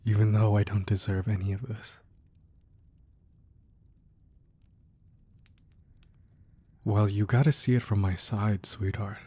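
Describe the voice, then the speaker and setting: A man acting a gruff but tender, protective crime boss husband in an intimate, soft-spoken ASMR romance roleplay. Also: on the low side. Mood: devoted, tender. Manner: soft, intimate, affectionate murmur.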